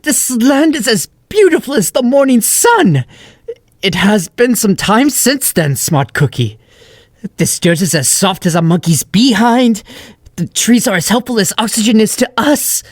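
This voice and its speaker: A silly, self-important pony chancellor from a cartoon audio drama, acted by a woman. The delivery is very animated and theatrical. Speeches ramble along pompously. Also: medium pitch, unhurried. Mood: grand. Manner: theatrical, pompous, full of muddled similes.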